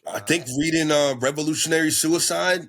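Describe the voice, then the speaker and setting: A man, a rapper, talking in a laid-back, slow way with many fillers in a casual interview. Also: on the high side, a bit bright.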